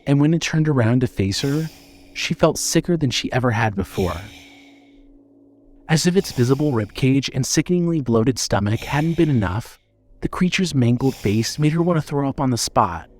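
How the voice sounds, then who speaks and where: A man's voice: mid-range voice, lively intonation, normal speed, a little mellow. A man narrating scripted horror fiction in a dramatic, suspenseful storytelling manner.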